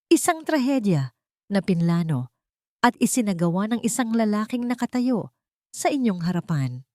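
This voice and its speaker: A woman's voice: mid-range voice, very animated, moderate pace, darkish tone, dry recording, voice pushed up, close to an everyday voice. Woman as vengeful wronged wife, cold and defiant. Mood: accusing. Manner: emphatic, accusing declarations.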